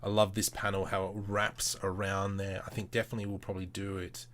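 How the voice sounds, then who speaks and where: Casting: male livestream host, lowish voice, informal, talks himself through computer work and chats with viewers. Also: completely flat delivery, fast, a bit bright. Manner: informal, muttering to himself, playful self-talk. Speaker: livestream host demonstrating 3D modelling. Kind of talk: casual livestream chat with thinking-aloud tutorial commentary.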